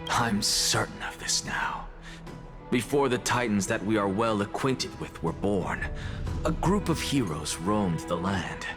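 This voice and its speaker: An actor playing a confident, scholarly alchemist in a video game, lecturing in a grand, self-assured way. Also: male voice, on the low side, expressive, a little slow, over music. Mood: triumphant, measured. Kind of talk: scripted dramatic game dialogue.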